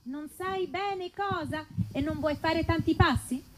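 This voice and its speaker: Very high-voiced woman in comedy sketch. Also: unhurried, a bit bright, a little hiss or hum. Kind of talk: mock advertisement and comic sketch.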